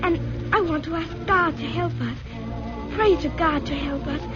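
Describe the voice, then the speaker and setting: High-voiced, pleading little girl. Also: measured pace. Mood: earnest, devout. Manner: earnest, innocent, carefully worded pleading. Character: lost, pleading little immigrant girl, devout and innocent. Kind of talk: 1940s-50s radio drama acting. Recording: muffled old radio recording with music.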